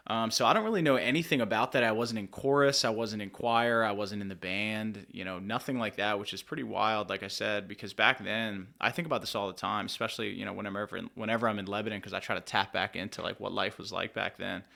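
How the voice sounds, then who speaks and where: A man's voice: fairly low pitch, steady tone, fast talker, creaky, few pauses, sharp tone. A young man from Pennsylvania, a musician guest in a podcast studio, talking in a laid-back, rambling way full of "like" and "dude". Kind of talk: casual interview chat.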